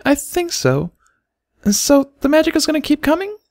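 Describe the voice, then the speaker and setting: A man's voice: very high voice, very animated, normal speed, no echo, clean studio sound, voice pushed up, exaggerated voice. A man voicing a curious, innocent little girl pony foal in an earnest, childlike way for a story.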